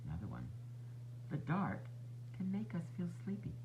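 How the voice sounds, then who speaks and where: Male storybook reader, instructive, expressive, swooping intonation, muffled sound with faint noise. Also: high-pitched, moderate pace. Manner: expressive, instructive, talking to young children. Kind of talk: children's read-aloud narration.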